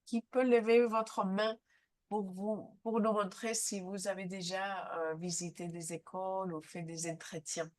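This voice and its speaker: A woman with a head cold leads a live online training session, speaking haltingly with frequent filler sounds. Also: medium pitch, level tone. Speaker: seminar trainer.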